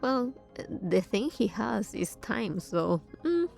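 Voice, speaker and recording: A woman giving casual, murmured gaming commentary as a streamer, reacting in short, unhurried asides. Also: on the high side, very animated, gravelly, darkish tone, soft background music.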